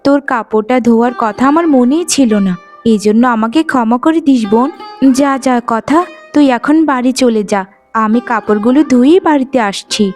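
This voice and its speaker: Woman as kind young twin sister, high pitch, gentle, pleading, dutiful, cartoon folk tale, faint music. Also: moderate pace, close to an everyday voice. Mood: tender. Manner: soft-hearted, pleading.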